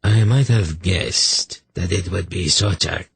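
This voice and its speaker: A cold, aged fantasy hierarch , acted by a man, hollow and gravelly. He speaks low and slowly, with sardonic, non-committal authority. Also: no echo, full character voice. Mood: cool command. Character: cold, aged, corpse-like high priest, commanding and sardonic.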